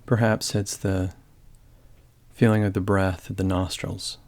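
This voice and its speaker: A man with a lowish voice leads a guided meditation. His delivery is calm and gentle. He speaks in measured phrases, with long silences between them. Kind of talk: guided body scan meditation.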